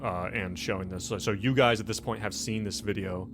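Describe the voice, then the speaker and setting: A man's voice: on the low side, steady tone, a little fast. A man speaking as game master in a tabletop roleplaying game, explaining things in an informal, conversational way. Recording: music playing under the voice. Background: background music.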